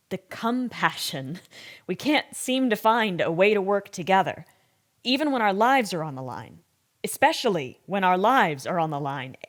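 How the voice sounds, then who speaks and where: An actress playing a thoughtful, troubled expert in an audio drama interview, speaking in a measured, reflective way. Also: female voice, medium pitch, natural voice. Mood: earnest, worried.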